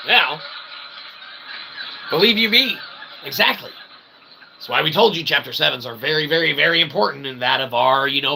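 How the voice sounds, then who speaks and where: Creaky-voiced man rambling philosophically over noise. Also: on the high side, pushed and bright, low-quality recording. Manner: wordy, convoluted phrasing with tag questions. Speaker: host of a philosophy livestream. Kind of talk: rambling philosophical monologue to viewers. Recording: clear background noise.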